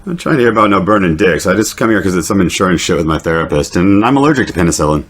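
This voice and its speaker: A man's voice: low-pitched, animated, very fast, fairly bright tone, husky, full character voice. A man voicing a wary, crude rapper puppet in an adult comedy, slangy and bluntly protesting.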